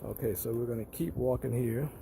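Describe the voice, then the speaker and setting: A man's voice: mid-range voice, brisk, gravelly, background noise. A man giving a casual narrated walkthrough as a tenant, explaining things plainly and repeating points for emphasis, recorded while walking around a parking lot.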